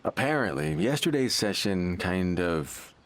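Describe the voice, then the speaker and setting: A man's voice: deep voice, very animated, measured pace. A man playing an evasive, laid-back townsman in a video game, drawling and hesitant. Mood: uneasy. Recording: faint music under the voice.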